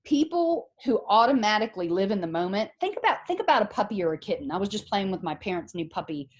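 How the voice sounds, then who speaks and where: A middle-aged woman gives a psychology lecture over a video call. Her voice sits at an ordinary middle pitch. She explains with everyday examples and keeps checking that her students follow. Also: sing-song, quick pace. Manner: conversational, explains with examples and rhetorical questions. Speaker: psychology professor. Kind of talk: online lecture.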